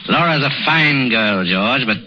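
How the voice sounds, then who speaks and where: A man's voice: medium pitch, expressive, measured pace, lightly acted. A man playing a genial, fatherly senior doctor in a 1930s radio drama, encouraging and formal in his speech. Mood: warm, fatherly. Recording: dull, noisy 1930s radio recording.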